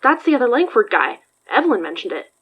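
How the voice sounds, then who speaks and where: A woman's voice: a little high, very animated, quick pace, husky. A woman acting in an audio drama as a wry, casual investigator and supportive friend, with slightly dull sound. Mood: curious. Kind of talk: audio fiction podcast acting.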